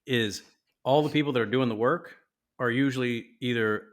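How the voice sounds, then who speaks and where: A man's voice: medium pitch, moderate pace. Laughing, profane podcast co-host man. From Mexican-American. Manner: profane, laughs often, earnest about friendship. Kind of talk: casual chat.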